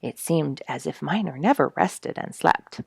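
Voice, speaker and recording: Low-voiced, animated woman reading a storybook. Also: unhurried, vocal fry, breathy edge, no room sound, studio quality. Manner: expressive, emphatic storytelling. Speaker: children's story reader. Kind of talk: read-aloud storybook narration.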